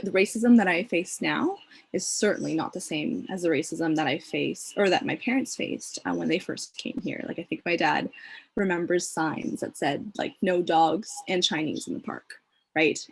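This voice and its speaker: A young woman speaks on a panel from a video call, thoughtful and earnest, pausing often on fillers. Her voice is husky with a gravelly rasp and sits a little low. The sound is dry, with no room echo. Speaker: panelist, community advocate.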